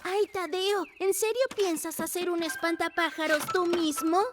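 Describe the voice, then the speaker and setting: A cheerful cartoon girl with a very high, childlike voice, friendly and upbeat. Music runs clearly under her dubbed lines. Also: steady tone, normal speed. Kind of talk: cartoon dub acting.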